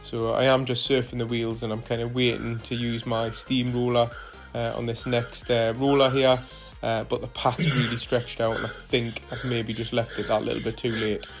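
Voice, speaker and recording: Man, casual voice-over, flat monotone delivery, frequent uhs and ums, faint music underneath. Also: medium pitch, moderate pace, hardly any pauses. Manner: relaxed, hesitant with frequent fillers. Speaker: cycling vlogger. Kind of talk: casual voice-over narration of ride footage.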